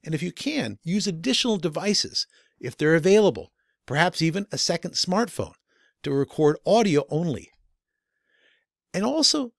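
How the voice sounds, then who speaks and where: A man's voice: a little high, gravelly, no room sound, clean studio sound. A man narrating a scripted instructional video as the instructor.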